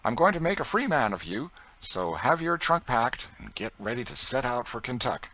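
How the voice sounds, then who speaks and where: A man voicing a dry, wry gentleman. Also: mid-range voice, sing-song, normal speed, pitched above the performer's own voice. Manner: dry, teasing. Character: wry, wealthy, melancholy gentleman.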